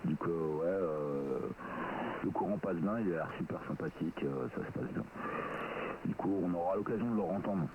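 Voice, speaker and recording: Casting: man, extremely low voice, rambling casual radio chat, heavy noise on the transmission. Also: moderate pace, lo-fi sound. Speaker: amateur radio operator.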